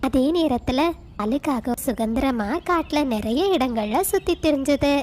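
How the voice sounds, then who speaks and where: A woman's voice: high voice, a little slow, darkish tone, a little hiss or hum. A woman narrator reading a scripted moral story for children.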